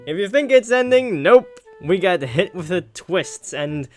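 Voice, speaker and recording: A man doing animated let's play commentary, exclaiming, swearing and stretching words for emphasis. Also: high voice, a little slow, soft background music. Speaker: gaming streamer reading a visual novel aloud.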